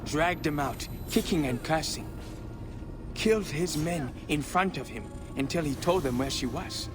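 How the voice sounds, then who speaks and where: A man's voice: on the high side, animated, a little slow, over music. An actor playing a boastful red-haired young thief who spins his tales glibly in a game cutscene. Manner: boastful, glib storyteller.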